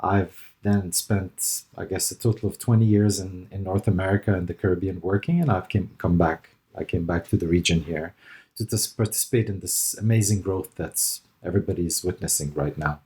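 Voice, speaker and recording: Low-voiced middle-aged man, thoughtful. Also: steady tone, brisk, mellow. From Lebanon. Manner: thoughtful, hesitant with fillers and restarts. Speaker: interview guest, consultant. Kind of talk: conversational interview answers.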